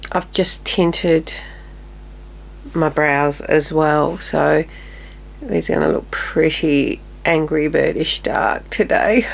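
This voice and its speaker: A woman in her fifties with a fairly low voice that is still a little nasal, talking through her makeup routine. She chats casually and drops into quiet, murmured asides while she works. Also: expressive, takes it slowly, vocal fry. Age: 50s. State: slightly nasal, recovering from illness. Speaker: beauty vlogger. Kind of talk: casual makeup tutorial chat.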